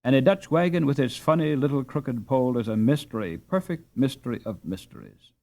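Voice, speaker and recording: A man reading a classic novel aloud as an audiobook narrator, in a measured, expressive storytelling delivery. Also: mid-range voice, vocal fry.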